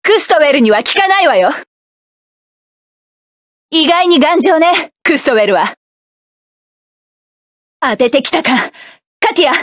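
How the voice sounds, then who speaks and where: Proud hardened mech pilot woman, high voice, clipped barks, dry studio sound. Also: animated, unhurried, pauses often, no echo. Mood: battle-ready. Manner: sharp, self-assured, clipped combat barks. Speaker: game character. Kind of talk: game battle voice lines.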